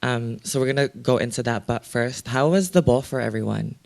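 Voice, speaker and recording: A man hosts a live podcast panel at a mid-range pitch. He talks in a relaxed, encouraging way, speeding up in quick bursts and then slowing down. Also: creaky. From Philippines. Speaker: podcast host.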